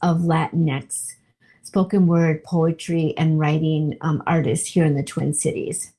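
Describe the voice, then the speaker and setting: A woman giving a reflective interview, speaking earnestly and deliberately, often repeating her phrasing for weight. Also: low-pitched, flat delivery, mellow, husky. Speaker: poetry group co-founder being interviewed.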